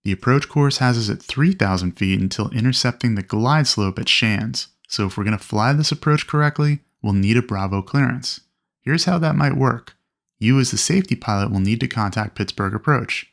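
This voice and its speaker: A man with a noticeably creaky voice at an ordinary middle pitch delivers instructional narration. He explains step by step, in the clear and methodical way of a flight instructor teaching students. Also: lively intonation, moderate pace. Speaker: flight instructor presenting a training video. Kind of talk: instructional narration with acted radio calls.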